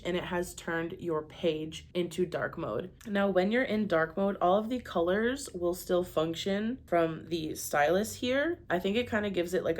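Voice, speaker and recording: A young adult woman with a cold and a nasal voice, casually explaining tips step by step in a tutorial video with frequent fillers. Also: fairly low pitch. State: has a cold. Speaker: video creator.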